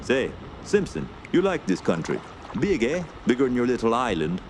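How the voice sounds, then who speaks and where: A man's voice: medium pitch, big pitch swings, moderate pace, close to an everyday voice. Man playing a French-Canadian backwoods guide, noisy backdrop. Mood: jovial. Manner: colloquial, folksy, ends remarks with "eh". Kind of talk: radio play acting. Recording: clear background noise under voice.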